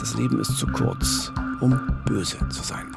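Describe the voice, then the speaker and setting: A middle-aged man with a standard German accent hosts a true-crime podcast. His voice is mid-pitched with a creaky edge, close-miked in a studio, and music plays behind him. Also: measured pace. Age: 50s. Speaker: podcast co-host. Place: small indoor recording studio. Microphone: close studio microphone on boom arm.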